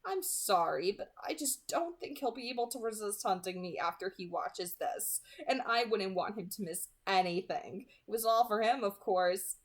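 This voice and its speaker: Woman reading as a smug, genteel male vampire hunter. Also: mid-range voice. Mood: mock polite. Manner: genteel, sarcastic, taunting. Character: gloating, mockingly polite male vampire hunter.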